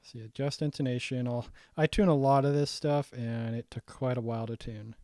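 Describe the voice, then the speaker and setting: A young man walking through his own saxophone arrangement in a casual, halting way, full of ums and restarts, his voice getting raspy. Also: medium pitch, fairly even intonation, dark tone, no room sound, clean studio sound, soft background music. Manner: halting, many ums and restarts, thinking aloud. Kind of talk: casual screen-share breakdown.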